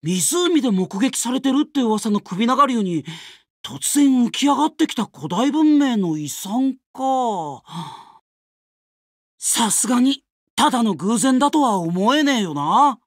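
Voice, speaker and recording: Casting: boyish adventurous dog-man inventor for anime game, very high voice, swooping delivery. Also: very animated, a little slow, no room sound, clean studio sound, full character voice. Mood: curious. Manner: eager, punchy boyish exclamations. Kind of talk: anime mobile game voice acting.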